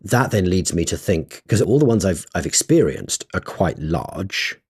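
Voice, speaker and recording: Deep husky man, podcast co-host. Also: lively intonation, measured pace, a little mellow. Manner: thinks aloud, hesitant, laughs often. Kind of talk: casual chat.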